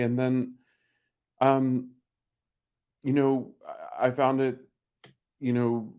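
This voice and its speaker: A man from Pennsylvania, a guest on a video call, talking haltingly and emotionally about his late cat with many pauses and restarts. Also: on the low side, a little mellow. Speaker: pet owner guest. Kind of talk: emotional interview talk.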